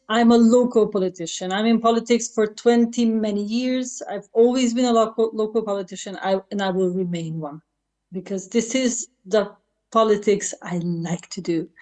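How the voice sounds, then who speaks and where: Hungarian woman politician, mellow tone, earnest and halting, heard over a video call. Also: medium pitch. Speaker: local politician. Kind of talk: panel speech.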